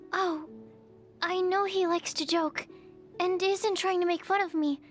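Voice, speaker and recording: An actress playing a shy, bookish young female alchemist in a video game, earnest and awkward, speaking in hesitant, precise, formal phrases. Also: very high voice. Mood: puzzled, awkward. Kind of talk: video game character voice lines.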